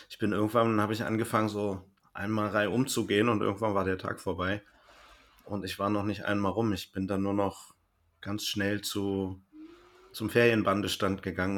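Casual male podcast host, lowish voice. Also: fairly bright tone.

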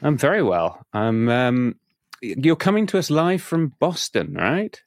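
Animated male podcast host, lively voice. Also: mid-range voice, a little slow, no echo, clean studio sound. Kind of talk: scripted intro then casual interview.

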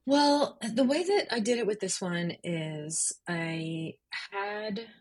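A woman's voice: medium pitch, animated, takes it slowly, darkish tone, husky. A woman journalist speaking as an interview guest, explaining things conversationally and thoughtfully, feeling for the right words. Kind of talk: conversational interview, explaining their writing process.

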